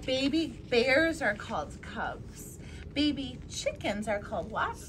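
A young woman teacher leading an interactive storytime, animated and prompting children as she reads. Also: sits high, takes it slowly. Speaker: teacher reading to preschool children. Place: classroom with children. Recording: noisy classroom ambience.

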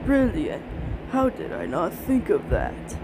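A man voicing a cheerful, clever adult cartoon pony doctor, chatty and excitable, in a fan comic dub. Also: sits high, slow, creaky, music in the background, heavily acted, low-quality recording.

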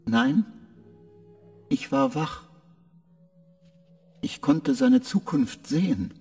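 An actor playing a confused male spacecraft AI who gives short flat answers and drifts into eerie visions, in a radio play. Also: very high-pitched, drawn-out words, vocal fry, soft background music, exaggerated voice. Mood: entranced.

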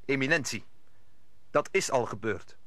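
An actor playing a bold, earnest young musketeer in a radio play, emphatic and declamatory. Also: male voice, medium pitch, expressive, a little slow, close to an everyday voice. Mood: grave. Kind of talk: Dutch radio play acting.

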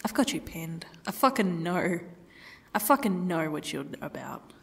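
A woman playing a sarcastic, defiant captive in an ASMR roleplay, swearing and slipping into breathy half-whispers. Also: on the low side, big pitch swings, measured pace, fairly bright tone, exaggerated voice. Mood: suspicious, defiant.

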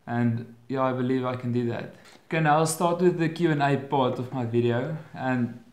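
A young man in his twenties with an Afrikaans accent, answering viewer questions in a casual home vlog, often pausing and restarting his phrases. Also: medium pitch, a little mellow, reverberant. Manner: hesitant, restarts phrases with many fillers. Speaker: vlogger. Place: bedroom at home, indoors. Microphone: webcam at desk.

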